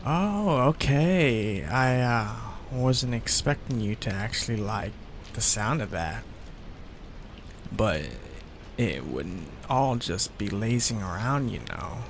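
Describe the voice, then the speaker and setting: A man voicing a soft-hearted young male mafia heir in an ASMR roleplay, talking in a hesitant, sheepish way with many fillers. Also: fairly low pitch, slow pace, slight background noise. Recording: steady rain sounds behind the voice.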